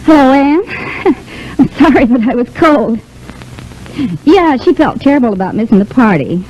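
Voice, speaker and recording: An actress playing a quick-thinking, bossy, protective showgirl friend in a vintage radio soap, talking briskly and chattily. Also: sits high, expressive, darkish tone. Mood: breezy, bluffing. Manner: brisk, chatty, takes charge. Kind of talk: 1930s-40s radio soap opera acting. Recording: muffled, hissy vintage radio recording.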